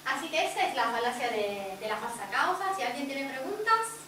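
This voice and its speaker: A woman's voice: sits high, lively intonation, normal speed, pushed and bright, a little hiss or hum. A woman with an Italian accent, a native Italian speaker, explaining ideas directly to a workshop group. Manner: explanatory, addresses audience directly. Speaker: workshop presenter. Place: indoor workshop room. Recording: echoey room, distant mic.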